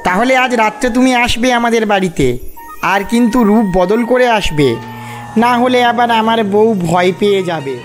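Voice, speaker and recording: High-voiced, wheedling sly fox played by a woman. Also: normal speed, faint music behind, natural voice. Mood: coaxing. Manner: wheedling, coaxing. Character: sly, pleading male fox, a henpecked husband. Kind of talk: cartoon fairy-tale voice acting.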